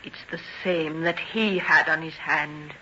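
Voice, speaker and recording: An actress in an old-time radio drama playing a farmer's anxious, protective daughter, firm and plain-spoken. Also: female voice, deep voice, fairly even intonation, a little slow, pushed and bright. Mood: wary. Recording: dull old radio recording, faint noise.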